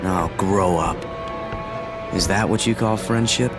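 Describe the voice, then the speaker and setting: A man's voice: low voice, measured pace. An actor playing a menacing young male villain in a video game, sneering and goading as he talks. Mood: taunting. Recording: loud music underneath.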